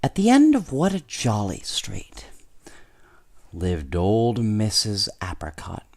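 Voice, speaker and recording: Male bedtime storyteller, unhurried and gentle, with lively rise and fall and informal asides. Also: lowish voice, vocal fry.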